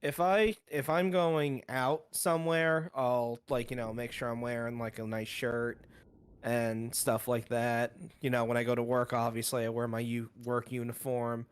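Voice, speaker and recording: A man's voice: medium pitch, little pitch movement, measured pace, clean tone. A man from Long Island with a New York accent, the guest in a casual interview. He is laid-back and gives short, easygoing answers. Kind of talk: casual online interview chat.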